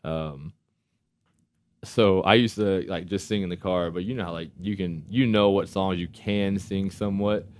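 A man with a deep voice, chatting casually as a football player guest and laughing often. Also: fairly even intonation, moderate pace, no room sound. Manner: laid-back, laughs often. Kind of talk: casual chat.

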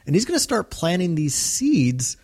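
A man's voice: high voice, very animated, normal speed, darkish tone, slightly rough. A man co-hosting a podcast, giving casual commentary in an agreeable, explanatory way. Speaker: podcast co-host.

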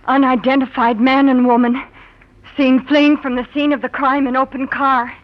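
An actress playing an anxious young nightclub singer on the run in a 1940s radio drama. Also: female voice, high-pitched, level tone, normal speed, lightly acted. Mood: grim. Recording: dull vintage 1940s radio, music behind.

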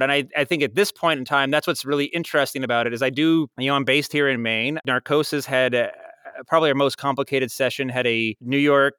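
A man's voice: medium pitch, clear, resonant tone, no echo, studio quality. A middle-aged American man telling anecdotes in a casual interview, rambling and acting out quotes as he goes. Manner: animated rambling storyteller, acts out quotes. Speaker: audio drama producer, guest.

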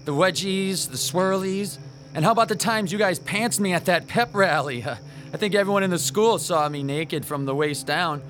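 A man's voice: sits high, moderate pace, fairly bright tone. An actor playing a timid, bullied man turned vengeful master in a horror radio drama, bitter, sarcastic and taunting. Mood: falsely friendly, bitter. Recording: music behind the voice.

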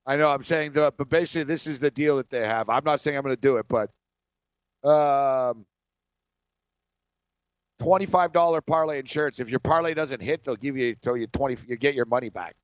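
A man's voice: mid-range voice, a little fast, smooth, dry recording, muffled recording. A man hosting a sports betting talk show, chatting casually, laughing often and tripping over his words.

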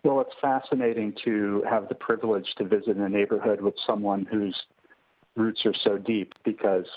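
A man's voice: fairly low pitch, steady tone, normal speed. A man giving a thoughtful answer as an interview guest. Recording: narrow phone-like line.

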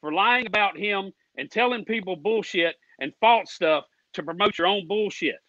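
Fired-up, high-voiced American man ranting, muffled audio. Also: moderate pace, sharp tone, no room sound. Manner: combative, indignant, profane; folksy, with "ain't" and "y'all". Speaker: guest caller on a racing fan show. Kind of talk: heated ranting.

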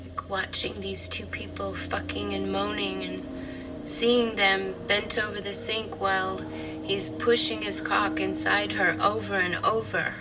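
An actress plays a wistful woman confiding in an intimate audio drama monologue. She speaks slowly at a middle pitch, halting and breathy. Music plays clearly behind her. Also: level tone, lightly acted, poor audio. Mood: sensual, absorbed.

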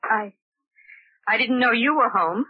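An actress playing a resentful, strained young daughter-in-law in a 1940s radio drama. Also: female voice, fairly high pitch, unhurried, slightly rough. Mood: uneasy. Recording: muffled, phone-like old radio recording.

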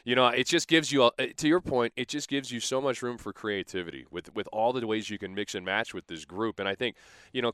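A man's voice: mid-range voice, fairly bright tone, clear, resonant tone, dry recording, clean studio sound. A man who works as a play-by-play announcer, giving casual, anecdotal basketball commentary as an interview guest.

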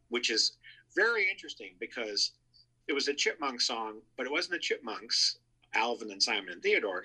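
A man's voice: mid-range voice, bright, forceful tone, husky, low-quality recording. A man reminiscing as an interview guest, telling stories in an informative, enthusiastic way. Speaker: guest paying tribute. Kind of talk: casual reminiscing in a radio interview.

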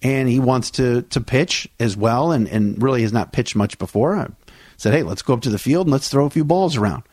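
A man talks through a solo sports podcast. His voice sits in the middle range and sounds dry and close to the mic. He is easygoing and chatty, often pausing on "uh" while his voice recovers from surgery. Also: medium pitch, lively intonation, darkish tone, no echo. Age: 40s. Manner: chatty, conversational, with frequent "uh" fillers. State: recovering from vocal cord surgery. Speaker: podcast host.

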